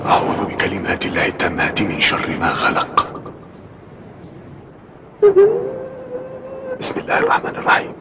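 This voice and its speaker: A man's voice: sits low, steady tone, rapid-fire, heavy background noise, close to an everyday voice. A man voicing a kindly, brave uncle guiding a boy in a dubbed cartoon, with a fatherly, encouraging and dramatic delivery. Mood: hushed, prayerful. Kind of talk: dubbed cartoon acting in formal Arabic.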